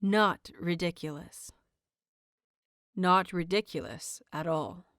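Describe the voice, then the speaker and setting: A woman's voice: lowish voice, takes it slowly, fairly bright tone, no echo, studio quality. A woman reading a scripted story aloud as the narrator of an audio fiction series.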